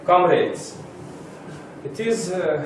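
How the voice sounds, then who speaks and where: Slow Russian-accented man in echoey hall. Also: high voice, animated, slight background noise. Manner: halting, many filler pauses. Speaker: lecturer. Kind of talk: seminar introduction. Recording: roomy echo from far off.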